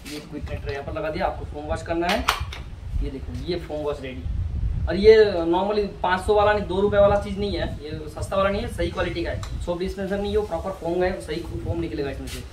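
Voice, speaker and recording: A man in his thirties gives a casual, hands-on product demonstration. His voice sits a little high and he often repeats himself as he works. He is picked up from some distance on an echoey porch, with clear background noise. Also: quick pace, a little mellow. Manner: informal, hands-on, repeating himself while handling items. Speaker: product reviewer. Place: outdoor porch by house steps. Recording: roomy, distant mic, clearly noisy, clicks.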